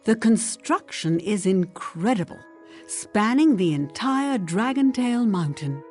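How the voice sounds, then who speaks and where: A woman's voice: mid-range voice, animated, slow, darkish tone, soft background music. A woman voicing an admiring scholar of ancient ruins in fantasy game dialogue. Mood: awed.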